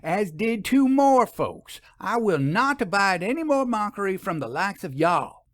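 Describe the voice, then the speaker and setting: A woman's voice: mid-range voice, very animated, a little slow, dry recording, pitched above the performer's own voice. A man voicing a vexed, scolding old Southern US shopkeeper woman in a storybook reading. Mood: indignant, venomous. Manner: indignant, scolding.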